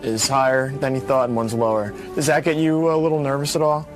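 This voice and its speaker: Male interviewer over clear music. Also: mid-range voice, level tone, a little fast. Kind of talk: casual interviewing, short questions.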